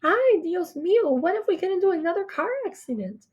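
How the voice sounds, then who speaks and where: A woman's voice: high-pitched, big pitch swings, normal speed, dark tone, dull audio, pitched above the performer's own voice. A woman voicing a fretful, protective mother in an audiobook, exclaiming and chiding. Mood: alarmed.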